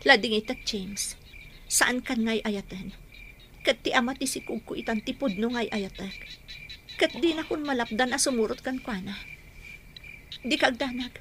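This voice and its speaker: A woman's voice: a little high, moderate pace, long pauses, fairly bright tone, a little hiss or hum, natural voice. An actress in a radio drama playing a guilt-torn mother, pleading in tearful melodrama. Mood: resolute, sorrowful. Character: guilt-torn mother Melody leaving her husband and children.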